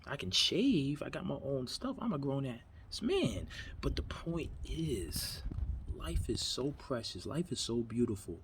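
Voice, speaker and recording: A man's voice: medium pitch, big pitch swings, measured pace, noisy background. A man of about thirty, from New York, giving an earnest, rambling motivational talk in his backyard. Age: 30s. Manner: earnest, rambling, repeats himself. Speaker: vlogger. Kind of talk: motivational monologue. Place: backyard outdoors.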